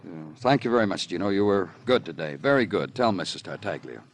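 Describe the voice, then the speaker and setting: An actor playing a world-weary American police detective, clipped, dry and hard-boiled, in vintage radio crime drama style. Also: male voice, deep voice, animated, fast talker, loud music behind the voice. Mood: dry, impatient. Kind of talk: 1940s-50s American radio crime drama acting and narration. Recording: old radio broadcast recording.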